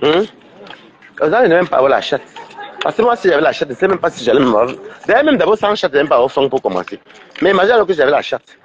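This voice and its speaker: Lively high-pitched man with a cold. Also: racing, lo-fi sound. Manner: scolding, repetitive, stumbling restarts. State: stuffy with a cold. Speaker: livestreamer. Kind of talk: rambling livestream rant.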